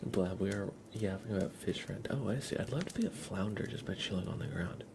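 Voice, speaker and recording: A man hosting an ASMR livestream, chatting playfully and softly with viewers, often slipping into whispers and rambling as he goes. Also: deep voice, expressive, a little fast, gravelly, noisy background. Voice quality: soft, often breaking into whispers. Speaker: livestream host. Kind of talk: ASMR livestream chat, reading and answering viewer comments.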